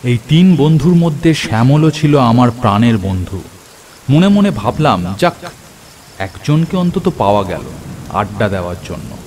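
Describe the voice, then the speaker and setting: A man playing an earnest, excitable friend who tells a cartoon horror story in the first person, in an expressive, theatrical way. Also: medium pitch, normal speed, slight background noise, lightly acted. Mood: atmospheric, pensive. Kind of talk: dramatic scripted first-person horror narration. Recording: clear rain and thunder over voice. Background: rain and thunder effects.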